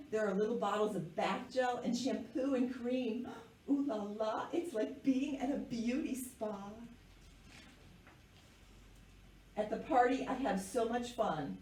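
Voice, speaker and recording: A woman's voice: a little high, a little mellow. A woman voicing a dramatic, precocious little girl who loves fancy French words in a children's picture book. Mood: delighted, showy. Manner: theatrical, precocious. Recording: echoey room, distant microphone.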